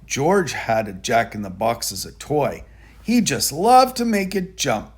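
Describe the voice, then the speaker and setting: A man reading a children's picture book aloud in a deliberate, expressive storytelling manner. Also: medium pitch, slightly rough. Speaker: storybook reader.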